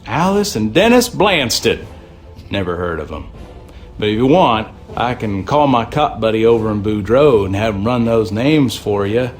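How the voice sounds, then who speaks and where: A man's voice: on the low side, animated, moderate pace, faint music behind, natural voice. An actor playing a helpful, casual small-town man from the American South in a video game. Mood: friendly. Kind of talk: video game dialogue acting.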